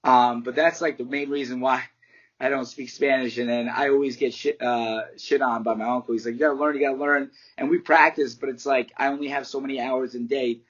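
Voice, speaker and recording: Candid New fighter, husky voice. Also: male voice, medium pitch, steady tone, fairly quick, a bit bright, dull audio. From United States. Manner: candid, rambling, swears casually. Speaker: MMA fighter and martial arts teacher. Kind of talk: casual interview answers.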